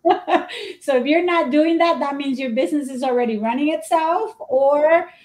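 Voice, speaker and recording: A woman's voice: high-pitched, normal speed, darkish tone. A woman hosting a live interview show from home over a video call, talking enthusiastically and laughing often. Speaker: show host. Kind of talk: casual interview chat. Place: home room.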